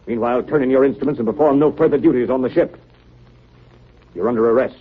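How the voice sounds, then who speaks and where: A man's voice: mid-range voice, fast, lightly acted. Stern navy captain played by a man, tinny old radio. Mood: angry, commanding. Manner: formal, commanding. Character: stern, authoritative navy captain of an icebound ship. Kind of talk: 1930s radio drama acting. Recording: noisy, tinny 1930s radio broadcast.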